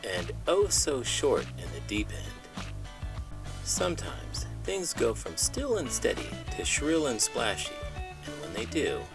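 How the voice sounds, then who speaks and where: A man reading a children's storybook aloud with animated, dramatic emphasis, in the manner of an elementary school teacher. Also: medium pitch, slow, music in the background. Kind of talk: expressive storybook read-aloud with puppet banter.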